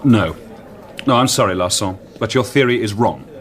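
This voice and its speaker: An actor playing a very young, brilliant amateur detective in a period radio drama, blunt, insistent and facetious. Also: male voice, lowish voice, expressive, moderate pace, natural voice. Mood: firm, sceptical. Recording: noisy ambient sound behind dialogue.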